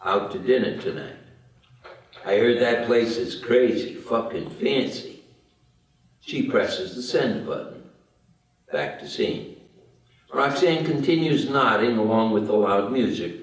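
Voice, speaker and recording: Slow male script narrator, very echoey room. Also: lowish voice. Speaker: stage directions narrator. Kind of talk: scripted narration at a table read. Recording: very echoey room, distant microphone.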